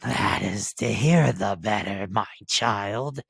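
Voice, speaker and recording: A big, wicked storybook wolf, acted out by a man, hungry and cunning. The voice is husky and close, drawing words out slowly to coax and threaten. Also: low voice, no room sound, exaggerated voice. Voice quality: big rough voice. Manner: coaxing, slow, menacing.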